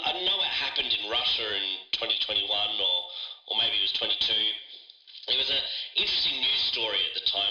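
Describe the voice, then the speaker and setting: A man playing a chatty television technician who explains things in a halting, rambling way, thinking aloud as he goes. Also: lowish voice, sharp tone. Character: hesitant, chatty male television technician recalling broadcast hijackings. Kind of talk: interview answers, explaining. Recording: echoey, distant, radio-like sound.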